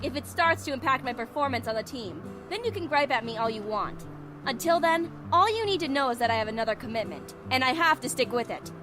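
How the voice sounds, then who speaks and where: A prickly young student woman from an anime-style game, guarded and curt. Her voice sits fairly high and swings expressively, with music playing underneath. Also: expressive, fairly quick. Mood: curt, defensive. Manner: curt, defensive.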